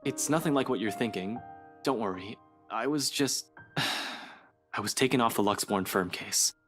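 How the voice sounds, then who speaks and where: A man's voice: on the low side, a little slow, a bit bright. A man voicing a young detective inspector in a visual novel game, teasing yet evasive. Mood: hesitant, reassuring. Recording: music behind the voice.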